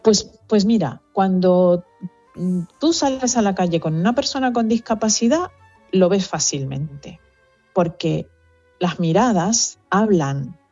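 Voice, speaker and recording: A middle-aged woman with a Venezuelan accent, speaking thoughtfully as an interview guest, pausing with fillers as she reflects. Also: medium pitch, expressive, a little mellow, breathy edge, dry recording, dull audio, faint music behind. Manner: reflective, earnest, with fillers and restarts. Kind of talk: conversational interview.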